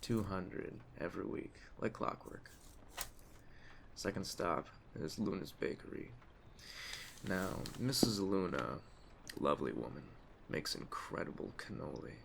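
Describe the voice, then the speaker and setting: Aging mafia don, played by a man: very deep, creaky, measured authority, streetwise ASMR roleplay delivery. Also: animated, full character voice. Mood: businesslike, calm. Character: calm, commanding aging mafia boss giving orders. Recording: clear ambient storm noise behind. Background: storm ambience.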